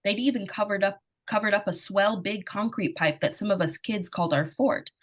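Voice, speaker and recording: A woman playing an excitable, earnest little girl who narrates an old-time radio drama in a folksy, childlike way. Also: medium pitch, moderate pace, close to an everyday voice. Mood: eager, earnest. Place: remote live performance over video call.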